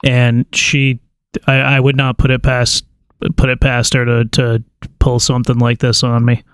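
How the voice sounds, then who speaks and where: A man's voice: mid-range voice, monotone, a little slow, clean tone, no echo. A man making a prank call, talking casually and informally with a hesitant stammer. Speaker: prank caller. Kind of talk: casual phone conversation.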